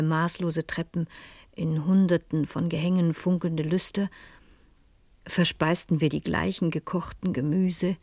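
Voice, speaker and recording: A woman acting a refined, lonely older widow in a 1970s radio play, speaking in a measured, restrained way with a husky, breathy voice. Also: sits low, a little mellow, no echo. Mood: wistful, composed.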